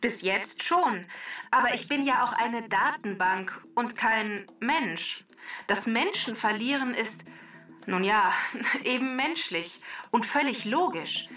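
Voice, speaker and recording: A woman's voice: on the high side, animated, takes it slowly, bright, forceful tone, far from the mic, lo-fi sound, soft background music, exaggerated voice. A woman playing a female talking computer database in a children's podcast, matter-of-fact, dry and smugly logical as she explains things. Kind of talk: scripted children's podcast character.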